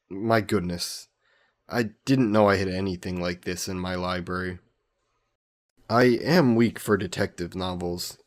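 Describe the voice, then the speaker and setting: A man playing a gentle, wistful immortal vampire scholar in an intimate audio roleplay, courteous and reflective, musing with hesitant pauses. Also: deep voice. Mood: bemused.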